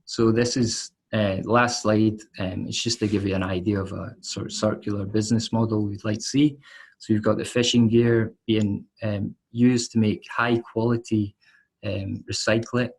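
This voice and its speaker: Gravelly husky man presenting a project. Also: lowish voice, level tone, darkish tone. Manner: halting, listing items with fillers. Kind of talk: webinar presentation. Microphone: video call.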